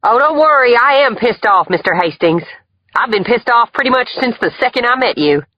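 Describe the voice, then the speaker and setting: A woman's voice: sits low, fairly quick, breathy edge, no echo, lo-fi sound. An actress playing a blunt, fiery female federal agent in an audiobook drama. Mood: furious.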